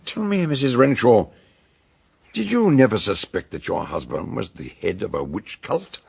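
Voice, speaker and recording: An actor in a radio detective drama playing an eccentric older doctor, a grave scholarly sleuth who speaks in a ponderous, theatrical way, with a gravelly, husky voice. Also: male voice, fairly low pitch, animated, normal speed, darkish tone, full character voice. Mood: grave, emphatic.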